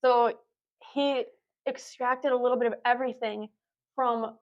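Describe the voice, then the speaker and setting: A woman's voice: fairly high pitch, steady tone, a little slow, lo-fi sound. A young woman, a podcast guest, talking at length in a reflective, casual way. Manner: reflective, talkative, explains at length.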